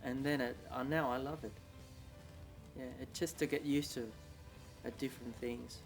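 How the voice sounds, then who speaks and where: Man, mid-pitched voice, animated, halting, faint music behind. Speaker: community member. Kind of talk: casual interview.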